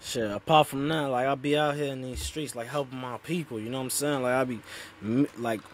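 A man's voice: mid-range voice, steady tone, brisk, a little hiss or hum. Laid-back young man with street slang. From Sudan, raised in Australia. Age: 20s. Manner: laid-back street slang, constant "you know what I'm saying". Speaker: guest. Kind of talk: casual interview talk. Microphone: headphones on, video call.

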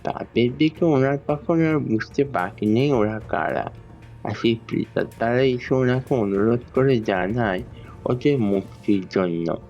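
A man playing a grave village priest in a horror audio story, speaking solemnly and deliberately. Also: mid-range voice, darkish tone, muffled recording, faint music behind, lightly acted. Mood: ominous. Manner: solemn, deliberate, fatherly address to a younger woman.

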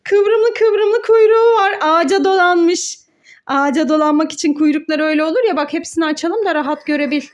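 A woman's voice: extremely high pitch, fairly quick. A woman talking as a mother hosting a children's play video, encouraging and full of questions and exclamations. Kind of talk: playful educational chat with child and viewers.

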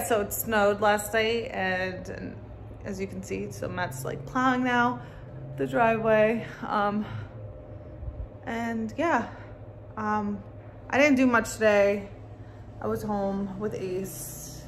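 A woman's voice: mid-range voice, a little hiss or hum, poor audio. A young woman in her twenties chatting casually to her phone camera at home, rambling with lots of ums and likes. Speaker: vlogger. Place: home living room and car. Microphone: handheld phone at arm's length.

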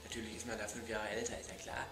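A man's voice: fairly low pitch, flat delivery, quick pace, vocal fry. Young guy ranting to his webcam, fed up, repeats stuff, music behind, roomy. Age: young adult. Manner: emphatic, repetitive, exasperated. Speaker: video blogger. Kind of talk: ranting comedic vlog. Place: bedroom, indoors. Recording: echoey room, music behind.

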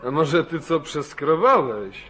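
A suspicious landowner in a vintage radio play. He speaks in a fairly high male voice, stern and probing, yet wavering. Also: very animated, measured pace, fairly bright tone, slight background noise. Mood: suspicious, accusing. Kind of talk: 1940s Polish radio drama acting.

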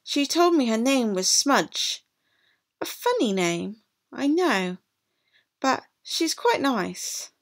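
A woman reading a picture book aloud to children as a teacher, in an animated, deliberate way. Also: fairly high pitch. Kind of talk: expressive storybook read-aloud for children.

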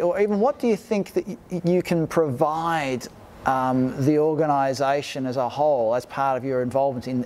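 A man's voice: medium pitch, a little mellow. A man in his forties asking conversational questions as a podcast interviewer. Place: large glass-walled expo hall, indoors. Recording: faint ambient hum of large venue.

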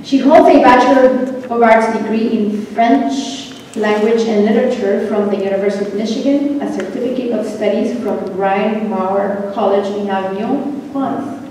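A woman's voice: mid-range voice, normal speed, few pauses, mellow, a little hiss or hum. A woman moderating a panel, reading a speaker's introduction and correcting her own slips. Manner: reads bios, stumbles and self-corrects. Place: community meeting hall, indoors. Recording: echoey hall from far off.

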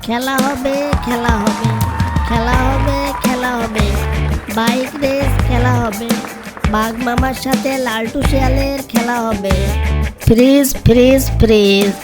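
Woman voicing a high-pitched cheeky cartoon fox. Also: takes it slowly, loud music behind the voice. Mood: announcing loudly. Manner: taunting, excitable, chanting. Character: cheeky, crafty young fox, gang member. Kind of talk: cartoon character voice acting.

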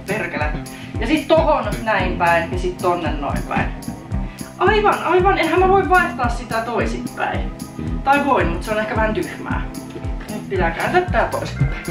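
A young woman in her twenties vlogging a do-it-yourself renovation, chatty and self-mocking, cursing casually as she talks to a handheld camera in a bare room. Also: a little high. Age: 20s. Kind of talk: casual DIY renovation vlog. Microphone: handheld camera mic. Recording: echoey empty room with background music.

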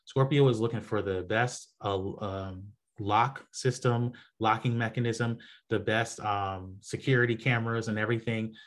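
A man's voice: lowish voice, steady tone, moderate pace. A man leading an online group session on astrology, talking casually with many fillers and restarts. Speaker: astrology presenter.

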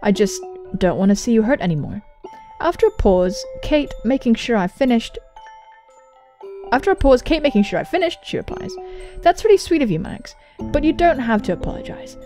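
A young woman, a gaming host, reading visual novel text aloud and commenting on it in a jokey, animated way with casual swearing. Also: fairly high pitch, moderate pace, faint music behind. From England. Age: young adult. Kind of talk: let's play commentary and dramatic reading of on-screen dialogue. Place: indoor room. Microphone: large microphone close to the mouth.

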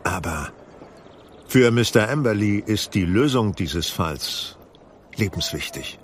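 An actor playing a composed, wry detective in an audio drama, explaining things in a measured, articulate way with dry irony. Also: male voice, fairly low pitch, lively intonation, a little hiss or hum. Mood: brisk, businesslike. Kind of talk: German audio drama acting.

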